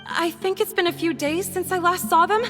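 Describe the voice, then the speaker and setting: A nervous, guarded villager. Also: female voice, very high-pitched, fairly even intonation, a little fast. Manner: hesitant, polite. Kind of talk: video game dub. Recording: long reverb. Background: music.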